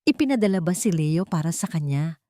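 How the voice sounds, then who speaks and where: A woman's voice: lowish voice, animated, fairly quick, warm tone, no room sound, studio quality. A woman reading a scripted romance story as narrator in a dramatic storytelling style.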